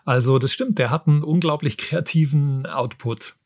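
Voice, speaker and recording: Husky man presenting book reviews. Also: on the high side, moderate pace, darkish tone, no room sound, clean studio sound. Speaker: book podcast host and reviewer. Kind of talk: book review, partly scripted narration.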